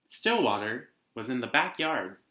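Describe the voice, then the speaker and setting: Bright-voiced young man in an echoey room. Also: medium pitch, big pitch swings, a little slow. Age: young adult. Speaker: read-aloud host, teacher. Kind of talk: casual picture-book read-aloud for students. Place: living room at home. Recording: roomy indoor echo.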